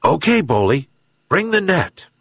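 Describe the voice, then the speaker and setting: A man's voice: on the high side, big pitch swings, unhurried, fairly bright tone, husky, no room sound, clean studio sound, voice pushed up, full character voice. A man playing a poor old fisherman in a storybook tale, emotional, exclamatory and pleading. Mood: enthusiastic.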